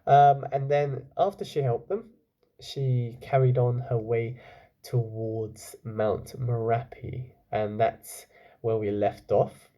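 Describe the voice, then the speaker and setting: A man working as a teacher, reading a children's book aloud and pausing to explain it and put questions to his young listeners. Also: mid-range voice, steady tone, dark tone. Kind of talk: storytelling with explanatory asides to children.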